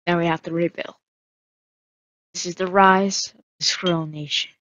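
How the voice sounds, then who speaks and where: A woman's voice: fairly low pitch, steady tone, a little slow, fairly bright tone, no echo. A woman casually narrating her solo game roleplay, musing aloud with hesitant fillers.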